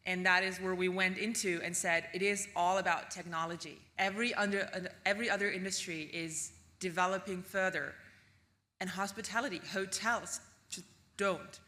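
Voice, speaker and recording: Woman, keynote speaker, bright clear tone, relaxed chatty delivery full of ums. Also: lowish voice, normal speed. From Austria. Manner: casual, chatty delivery with frequent ums and tag questions. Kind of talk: conference keynote presentation.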